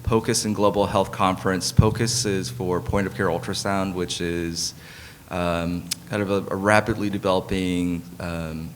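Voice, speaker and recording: A man moderating a medical conference panel, introducing speakers in a hesitant way with many pauses. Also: deep voice, steady tone, a little hiss or hum. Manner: frequent fillers and restarts. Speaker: conference moderator, emergency physician. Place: conference hall.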